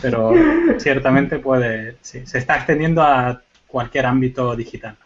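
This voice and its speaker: A man with a Canarian Spanish accent mixed with Cuban tones, explaining things in a relaxed, chatty way with joking asides on a video call. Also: medium pitch, normal speed, highs cut off. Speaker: guest panelist, forensic IT.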